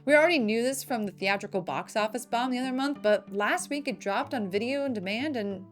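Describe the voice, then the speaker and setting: A woman's voice: fairly high pitch, fairly quick. A woman hosting a scripted film news show, with playful, emphatic delivery and slangy asides. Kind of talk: scripted entertainment news narration.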